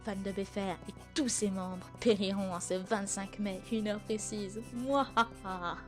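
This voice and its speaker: A woman's voice: medium pitch, flat delivery, measured pace, lightly acted. A woman playing a gleefully villainous, scheming young woman with a theatrical, mock-grandiose delivery in a comedic fan audio drama. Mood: cheeky, villainous. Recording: music behind the voice.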